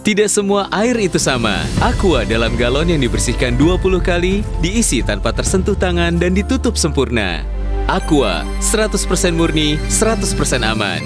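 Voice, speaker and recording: A man's voice: a little high, sing-song, normal speed, music in the background. A man playing an assured announcer in a television water commercial. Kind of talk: bottled water commercial voice-over.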